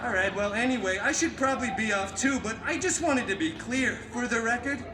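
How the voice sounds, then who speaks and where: A man's voice: very high-pitched, a little fast, noisy background. A man playing a wisecracking, flamboyant superhero who tells stories in a chatty, theatrical, sarcastic way at a live comedy show. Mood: breezy, joking. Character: wisecracking, flamboyant adult male superhero storyteller. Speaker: theme park show performer. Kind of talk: live comic storytelling stage show. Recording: echoey live amplified show with music.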